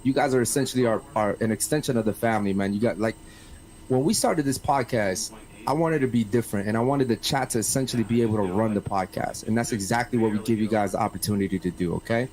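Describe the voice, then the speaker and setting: A man's voice: on the low side, fast, a little mellow, low-quality recording. A man hosting a podcast, chatting casually and peppering his talk with slangy asides like a buddy. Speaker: podcast host. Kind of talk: casual live-stream talk show chat.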